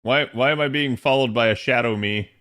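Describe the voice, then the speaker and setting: A man's voice: medium pitch, brisk, sharp tone. Streamer, male, easygoing slang-heavy chat over gameplay. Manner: laid-back, slangy, laughs at himself. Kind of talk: casual gaming stream chat.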